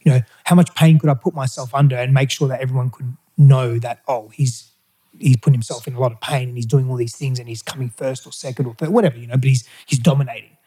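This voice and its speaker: A middle-aged man, whose was Greek, recounts his past as an interview guest. His voice is mellow and dark, and he talks candidly, often pausing and restarting. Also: medium pitch, steady tone, breathy edge, low-quality recording. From Australia. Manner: candid, hesitant, with restarts and fillers. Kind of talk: reflective personal storytelling.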